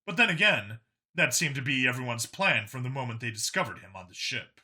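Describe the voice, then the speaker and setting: A man's voice: mid-range voice, very animated, fairly quick, bright, forceful tone, breathy edge. A man reading a science fiction story aloud as a dramatic scripted narration. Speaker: narrator, audiobook reader.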